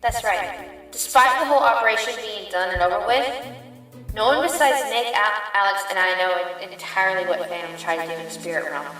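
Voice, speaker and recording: A woman's voice: mid-range voice, fairly quick, bright, forceful tone, recorded from a distance, close to an everyday voice. A woman voicing an earnest young female rabbit police officer, perky and easily exasperated, in an audio drama. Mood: sullen. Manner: perky, to the point. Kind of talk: fan audio drama acting. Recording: very echoey room, faint music.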